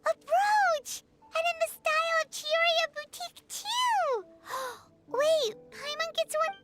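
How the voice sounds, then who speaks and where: A woman voicing a tiny, excitable fairy companion in a video game with a squeaky, childlike voice. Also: slow, exaggerated voice. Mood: amazed, excited. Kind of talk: scripted video game voice acting. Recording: loud music behind the voice.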